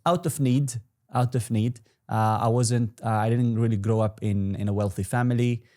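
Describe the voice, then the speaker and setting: A man's voice: medium pitch, steady tone, normal speed, darkish tone. A young man in his twenties whose first language is Arabic, talking casually as an interview guest, rambling with restarts and fillers. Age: 20s. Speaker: guest entrepreneur.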